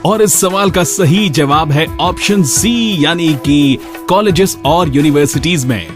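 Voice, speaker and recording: Higher-pitched male radio host over music. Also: a little slow. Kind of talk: scripted radio narration. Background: music bed.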